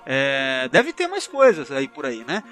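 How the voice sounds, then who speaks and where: A man's voice: mid-range voice, wildly swooping pitch, measured pace, fairly bright tone, faint music behind. A man with a Brazilian Portuguese accent in a casual podcast discussion, rambling chattily and adding frequent tag questions. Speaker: podcast panelist.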